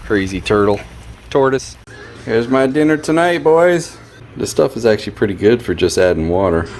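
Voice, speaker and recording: A man's voice: mid-range voice, animated, normal speed, darkish tone. A man giving casual, folksy vlog commentary on home repairs in short offhand remarks, recorded on a handheld camera. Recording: noisy handheld camera audio, background hum.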